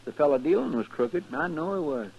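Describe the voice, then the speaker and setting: A man's voice: fairly high pitch, wildly swooping pitch, fast, creaky, darkish tone, breathy edge, muffled recording, heavily acted. A man playing a deferential, hapless marshal's sidekick in a 1950s radio western, folksy and plaintive with a rural American drawl. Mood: sheepish, aggrieved. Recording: faintly noisy 1950s radio recording.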